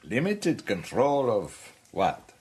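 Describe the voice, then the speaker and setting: A suave older spymaster villain. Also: male voice, fairly low pitch, wildly swooping pitch, slow, a little mellow, slightly rough, full character voice. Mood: urbane. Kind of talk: radio drama acting.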